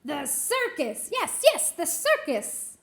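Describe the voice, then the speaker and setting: A woman's voice: sits high, expressive, unhurried. A woman voicing a greedy old witch who screeches and cackles. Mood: excited.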